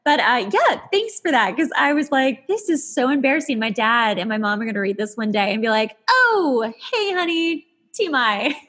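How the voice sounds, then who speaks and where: A woman's voice: high-pitched, lively intonation, normal speed, few pauses, a bit bright. A woman in her thirties hosting a casual podcast chat, giggly and effusive in the way she talks. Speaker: podcast host.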